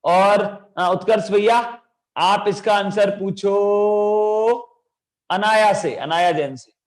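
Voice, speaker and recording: A man's voice: high-pitched, slow pace. A young man with a sore throat teaching an online children's class, coaxing the kids with lots of energy. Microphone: video call.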